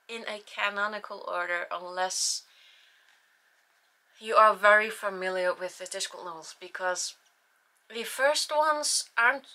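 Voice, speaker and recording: A woman's voice: medium pitch, sharp tone. A young woman from Iceland with an Icelandic accent, chatting casually and a little self-deprecatingly about books she has read, with frequent restarts. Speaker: book channel host. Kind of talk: casual book review vlog.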